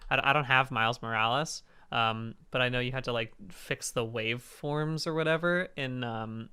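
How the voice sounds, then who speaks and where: A man with a hoarse, raspy voice hosting a charity game stream, chatting casually with fillers and restarts. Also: moderate pace, pushed and bright. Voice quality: deeper raspier register. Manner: rambling, filler-heavy and self-correcting. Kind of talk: casual gaming chat.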